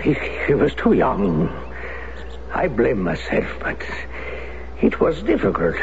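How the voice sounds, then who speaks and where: A gravelly, guilt-ridden resistance veteran. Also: male voice, mid-range voice, huge pitch swings, slow pace, heavily acted. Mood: remorseful. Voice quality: gravelly, solemn. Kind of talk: 1970s radio drama acting. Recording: dull old radio broadcast with hiss.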